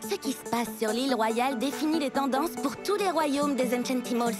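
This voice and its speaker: A woman's voice: high-pitched, fairly quick, lightly acted. A woman voicing a welcoming, confident parrot girl in a French children's cartoon dub. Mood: storytelling. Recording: background music under the voice.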